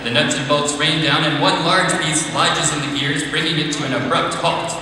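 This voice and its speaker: A man's voice: fairly high pitch, steady tone, moderate pace, sharp tone. A man reading scripted stage directions as narrator of a live comedy table read. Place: large convention ballroom with audience. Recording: echoey noisy hall, distant mic.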